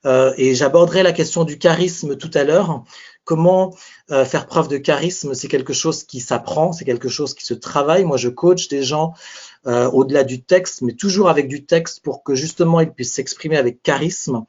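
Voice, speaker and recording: A man's voice: on the high side, normal speed, a little mellow. A man presenting a live webinar as a speaking coach, talking conversationally and thinking aloud with restarts. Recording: muffled webinar audio.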